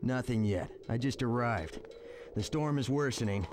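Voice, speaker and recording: An actor playing a resolute special forces captain in a video game, speaking in clipped military phrases. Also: male voice, medium pitch, moderate pace, noisy background, close to an everyday voice. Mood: determined. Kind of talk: video game cutscene acting.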